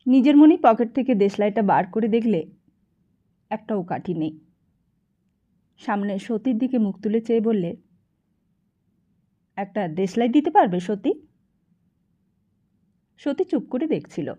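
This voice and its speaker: A woman's voice: fairly high pitch, animated, moderate pace, long pauses, warm tone, dull audio. A woman reading a literary novel aloud as an audiobook narrator.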